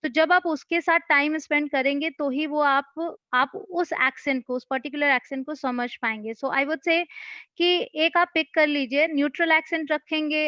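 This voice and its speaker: Coach type, woman, high voice, patient and advisory, dry lo-fi sound. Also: no echo. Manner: patient, advice-giving explainer. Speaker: English-speaking coach. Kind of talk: answering questions in an online session.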